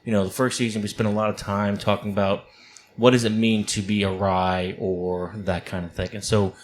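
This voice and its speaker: A man hosts a podcast. He sounds upbeat and chatty, full of fillers and quick restarts. Faint room noise runs behind him. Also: lowish voice, little pitch movement. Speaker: podcast host. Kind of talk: casual podcast hosting.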